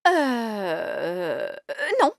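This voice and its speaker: A woman's voice: mid-range voice, sing-song, very slow, full character voice. A woman voicing a respectful, fussy and apologetic fairy nanny in a French cartoon. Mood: unsure.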